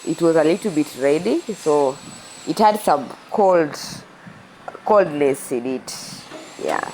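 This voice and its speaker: Slow, low-voiced Kenyan woman with a cold. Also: a little hiss or hum, poor audio. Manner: relaxed and chatty, with frequent "yeah" and restarts. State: slightly stuffy from a cold. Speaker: cooking vlogger. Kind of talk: casual voice-over narration of a cooking video.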